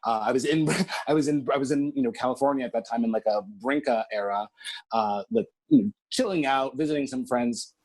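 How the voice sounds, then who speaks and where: Husky guy guest on a podcast, thoughtful, hunts for words, dry sound. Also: mid-range voice, animated, fairly quick, a little mellow, no room sound. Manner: reflective, searching for words, drifting pace. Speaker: guest, medicine practitioner. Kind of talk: casual interview chat. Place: Sao Paulo, Brazil.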